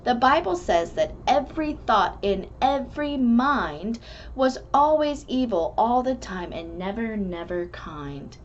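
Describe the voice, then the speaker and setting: A woman reads a rhyming storybook to children in a fairly high voice. Her delivery is very animated and measured, with lots of melodic movement. The recording is a little dull, with faint noise behind her. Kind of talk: expressive read-aloud of a rhyming children's book.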